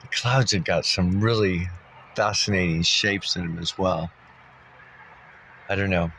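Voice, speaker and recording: A man's voice: deep voice, fairly even intonation, unhurried, vocal fry, sharp tone, slight background noise. A man vlogging in a meandering, casual monologue, congested and coughing now and then, thinking aloud with many fillers. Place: sitting in a dusty spot, maybe in a car.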